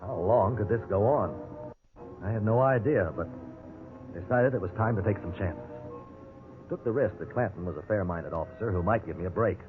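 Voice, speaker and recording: A man's voice: fairly low pitch, moderate pace, pauses often, music in the background, close to an everyday voice. An actor playing a wry, defiant man held as a suspect in a 1950s radio drama. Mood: wry narrating. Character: indignant, wrongly accused male prisoner, also narrating. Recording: tinny, noisy 1950s radio recording.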